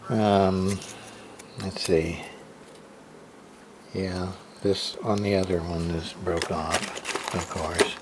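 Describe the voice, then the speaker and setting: A man giving a casual, unscripted show-and-tell of equipment in a halting, matter-of-fact way, as if muttering to himself. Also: sits low, fairly even intonation. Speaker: hobbyist radio repairer, video host. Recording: clearly noisy amateur recording.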